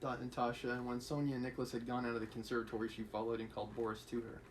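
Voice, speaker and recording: A man's voice: mid-range voice, monotone, very fast, slight background noise. A man reading a classic novel aloud on a livestream, with casual asides to his chat. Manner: casual, reads with light character voices and laughs between asides. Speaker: livestream reader.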